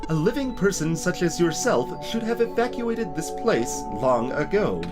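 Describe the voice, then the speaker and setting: Man voicing robot sentry, stiff and formal, measured phrasing, raised pitch, video game character, clear background music. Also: big pitch swings. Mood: formal, puzzled. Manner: formal, measured, uncontracted speech. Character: stiff, formal robot guard of an abandoned settlement.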